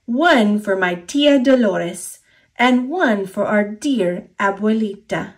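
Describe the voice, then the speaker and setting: A woman with an American accent voicing a kind, matter-of-fact mother in a storybook, gentle and plain-spoken. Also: mid-range voice, lively intonation, slow, distant mic.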